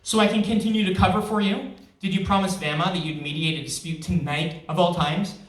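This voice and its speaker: A man's voice: high voice, steady tone, a little fast, close to an everyday voice. A man reading the part of an indignant queen who questions her husband insistently and with sarcasm. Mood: exasperated, sarcastic. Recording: echoey event room, distant microphone.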